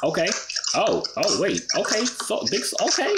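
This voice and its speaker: A man's voice: sits high, wildly swooping pitch, normal speed, over a noisy crowd. A man in his twenties with an American accent chatting casually in slang, playful and teasing. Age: 20s. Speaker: vlogger chatting with strangers online.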